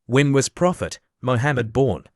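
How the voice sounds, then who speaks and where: A man hosting a quiz, reading out questions and answers in a plain, measured way. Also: medium pitch, no echo, studio quality. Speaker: quiz host. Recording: clear steady background noise.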